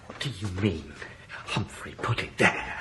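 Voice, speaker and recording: Intense, expressive British man. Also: low voice, a little slow, background noise. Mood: indignant. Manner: emphatic, intense, theatrical. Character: indignant, earnest man in love with a married woman. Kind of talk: 1990s British radio drama acting.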